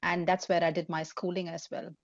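A woman in her thirties with an Indian accent speaks to students over a laptop webcam, chatty and a little self-deprecating. Her voice sits on the low side, and the sound is dry and close with a slightly dulled, video-call quality. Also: little pitch movement, fairly quick, no echo, lo-fi sound. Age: 30s. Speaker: engineering professor. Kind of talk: online forum talk for students. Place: indoors at home, plain wall behind.

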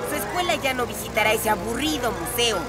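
A high, boyish cartoon villain played by a woman with a Latin American Spanish accent, over loud music. The young ninja gang leader is boastful and bossy, gloating as he gives orders. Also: expressive, fairly quick, lightly acted. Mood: smug, excited. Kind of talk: animated children's cartoon dubbing.

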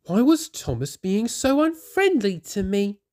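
A storybook narrator voicing a small, chatty female engine in a very high voice. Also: animated, a little fast, a little mellow.

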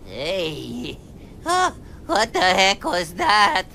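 A woman voicing a small, excitable, cheeky wild cat sidekick in a dubbed cartoon, full of sassy exclamations. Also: mid-range voice, drawn-out words, creaky, noisy background, full character voice. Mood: bemused. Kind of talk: exaggerated dubbed cartoon acting. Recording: music behind the voice.

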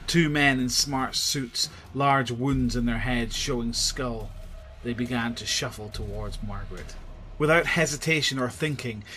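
A man's voice: mid-range voice, a bit bright. A man narrating a scripted horror audio drama. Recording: clear background noise and ambience.

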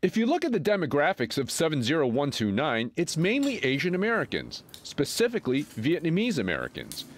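A man's voice: fairly high pitch, wildly swooping pitch, brisk, few pauses. A man reporting a local news feature, narrating and interviewing people outdoors on residential streets.

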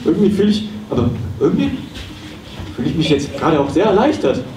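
A man playing a hapless, wistful psychotherapist in a live comedy audio play, halting and doubting himself. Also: fairly high pitch, sing-song. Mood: wistful, unsure. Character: wistful, hapless male psychotherapist losing his practice. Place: small theatre stage, indoors. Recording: noisy, echoey, distant live stage recording.

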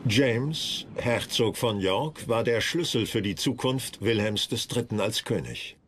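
Low-pitched male documentary narrator. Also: animated, unhurried, fairly bright tone, a little hiss or hum. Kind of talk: scripted historical narration.

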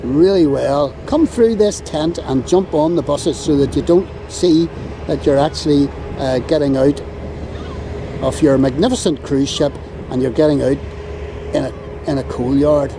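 Slow, sarcastic Northern Irish man ranting. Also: on the high side. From Northern Ireland. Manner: exasperated, sarcastic, drawn-out complaints. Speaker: vlogger filming the harbour. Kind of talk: ranting handheld commentary. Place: outdoors on a windy harbour quay. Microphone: camera mic while filming. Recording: outdoor camera audio with steady wind and traffic noise.

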